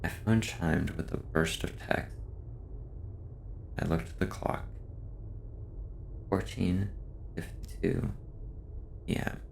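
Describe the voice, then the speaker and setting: Deep, gravelly man narrating slowly. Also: steady tone, a little hiss or hum. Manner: slow, measured, subdued delivery. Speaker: horror story narrator. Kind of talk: scripted first-person horror narration.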